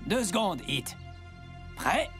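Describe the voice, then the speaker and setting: Cocky young big brother. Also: male voice, high-pitched, sing-song, slow, a bit bright, faint music behind, exaggerated voice. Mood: teasing, mocking. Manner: smug, bossy, teasing. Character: cocky, bossy eldest brother, young male rescuer. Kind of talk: French-dubbed children's cartoon acting.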